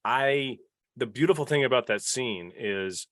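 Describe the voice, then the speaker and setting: A man's voice: medium pitch, a little slow, a bit bright, no room sound. A man hosting a casual panel discussion, giving his opinions with emphasis. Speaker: podcast panel host.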